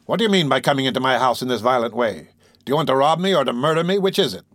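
A man's voice: fairly high pitch, fairly quick, a bit bright, no room sound, studio quality, voice pushed up, natural voice. A man voicing a spiteful, raging old hunchbacked man in an audiobook, sneering and menacing. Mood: indignant rage. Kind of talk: audiobook character voice.

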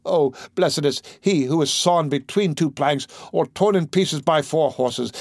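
A man's voice: on the high side, measured pace, darkish tone, no echo, studio quality, pitched above the performer's own voice. A man reading the part of an anguished priest desperately pleading his obsessive love, in impassioned, theatrical declamation. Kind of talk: audiobook character reading.

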